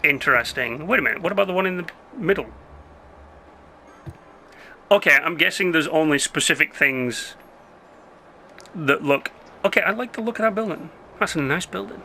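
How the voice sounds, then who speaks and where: A young man giving rambling, casual commentary while playing a city-building game, his voice going hoarse. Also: fairly high pitch, lively intonation, moderate pace, pauses often, pushed and bright, slight background noise. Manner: chatty, rambling, self-interrupting. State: losing his voice. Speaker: gameplay commentator.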